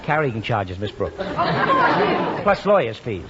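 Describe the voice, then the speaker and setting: A shamelessly slippery American garage man, pitched high and swinging up and down as he wheedles in mock sincerity. It is old-time radio comedy, heard through heavy noise with an audience behind. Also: huge pitch swings, slow, lo-fi sound, a loud crowd, full character voice. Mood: slyly matter-of-fact. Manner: wheedling, mock-sincere, hesitating while totting up. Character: fast-talking, slippery garage mechanic, shamelessly overcharging. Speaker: actor.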